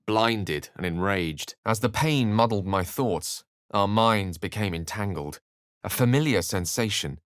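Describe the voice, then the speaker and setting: A man playing an erudite, theatrical wizard in a video game, speaking and narrating in eloquent, precisely measured phrases. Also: low voice, a bit bright, no echo, studio quality. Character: erudite, urbane male wizard with a theatrical streak.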